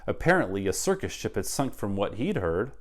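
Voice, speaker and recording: A middle-aged man reading a picture book aloud to children in an expressive, dramatic way. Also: on the low side, brisk. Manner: animated, dramatic. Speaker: read-aloud guest reader. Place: child's bedroom.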